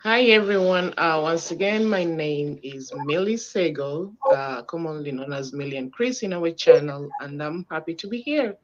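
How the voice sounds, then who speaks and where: A woman's voice: sits low, animated, moderate pace, hardly any pauses, muffled recording. A woman guest on a live call, introducing herself in a friendly way with some fillers.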